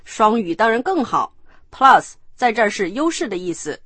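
Woman narrating a lesson, lively pitch. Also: normal speed, no echo. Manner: clear, explanatory.

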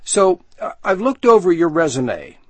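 Magazine boss interviewing, lively pitch, husky, dry studio sound. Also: male voice, normal speed, no echo, exaggerated voice. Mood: friendly. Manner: relaxed and friendly, asks questions. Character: male magazine manager, friendly interviewer. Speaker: job interviewer in a dialogue. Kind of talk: scripted dialogue for learners.

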